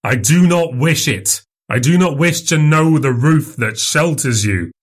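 A man's voice: medium pitch, measured pace, a bit bright, pitched above the performer's own voice. A man reading aloud and voicing a shabby, bitter drunkard who scornfully refuses help. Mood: bitter, defiant. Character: bitter shabby middle-aged male drunkard, defiant.

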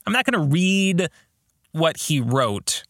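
A man hosts a reflective solo podcast in a bright, forward voice that sits a little high. He speaks slowly and earnestly, with pitch that moves widely as he leans on key words. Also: sing-song, no room sound, studio quality. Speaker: podcast host and author.

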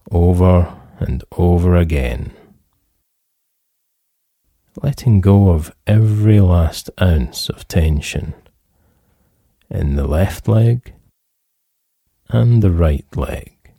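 Slow, deep-voiced man guiding relaxation. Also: no echo, studio quality. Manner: calm, soothing, measured instruction with pauses. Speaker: therapist leading guided relaxation. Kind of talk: guided relaxation meditation.

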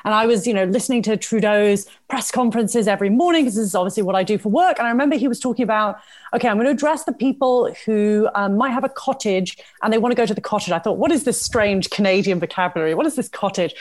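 Woman, British accent, rapid pace, medium pitch, articulate, explanatory, conversational panel talk. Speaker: guest expert on public health communication.

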